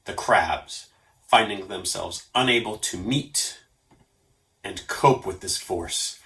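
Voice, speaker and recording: A man reading a story aloud as an expressive narrator. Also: deep voice, slow pace, rough recording. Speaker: storyteller for a library story program.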